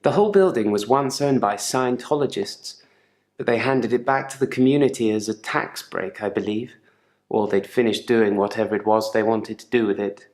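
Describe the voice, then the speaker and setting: A man's voice: on the low side, normal speed, a little mellow, lightly acted. A man playing a troubled gravedigger in therapy for a video game, speaking in a guarded, dryly sardonic, articulate way. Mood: matter-of-fact, musing. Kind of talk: naturalistic acted monologue in therapy session. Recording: echoey room.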